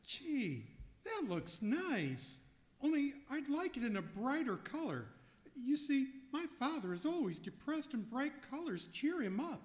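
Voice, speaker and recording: A woman playing a naive, polite young man in an old-time radio comedy, earnest and deadpan. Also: high voice, unhurried. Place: live performance in a museum hall. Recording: reverberant hall, live stage recording.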